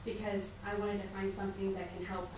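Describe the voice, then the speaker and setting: A woman's voice: mid-range voice, little pitch movement, fairly quick. Earnest woman talking to a city council, heard from far off in a really echoey chamber with noise behind her. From southern Indiana. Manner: earnest, chatty, with small laughs and fillers. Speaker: public commenter. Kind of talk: public comment at a council meeting. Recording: echoey room picked up from far off.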